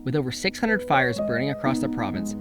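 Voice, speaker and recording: A man's voice: medium pitch, big pitch swings, a little fast, over loud music. A young man with an earnest, curious manner, hosting and narrating a documentary and interviewing people.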